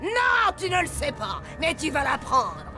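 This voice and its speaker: Cartoon villainess: very high, shrill, scolding, coarse working-class café bully, music behind. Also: female voice, wildly swooping pitch, a little slow, full character voice. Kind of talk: French cartoon voice acting.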